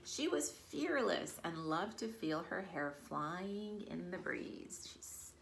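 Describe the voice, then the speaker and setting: Low-pitched, creaky woman reading to children. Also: lively intonation, slow, a little hiss or hum. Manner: talks directly to children, addressing them as friends. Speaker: children's storytime host and kids' yoga teacher. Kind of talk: animated read-aloud to young children. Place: at home, virtual storytime.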